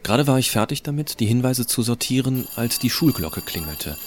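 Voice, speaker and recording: A man playing a tech-savvy, rebellious high school student who narrates his story in an explanatory, colloquial way. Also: animated, a little fast, a little hiss or hum, natural voice. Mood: conspiratorial, matter-of-fact. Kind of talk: scripted audio drama narration.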